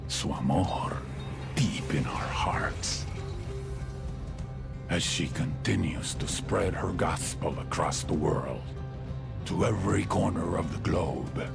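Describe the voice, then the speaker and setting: A grave cartel zealot delivers a reverent sermon in a game. The voice is very deep and gravelly, moving slowly and deliberately over prominent music. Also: male voice, full character voice. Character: solemn cartel preacher exalting his queen like a saint. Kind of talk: slow sermon-like dramatic monologue. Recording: music behind the voice.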